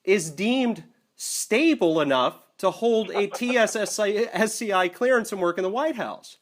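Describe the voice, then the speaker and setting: High-pitched, formal, insistent man on phone. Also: lively intonation, measured pace. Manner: formal, wordy phrasing, insistent. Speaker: caller in a phone dispute. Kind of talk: argumentative phone conversation. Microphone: telephone. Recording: secretly recorded phone call.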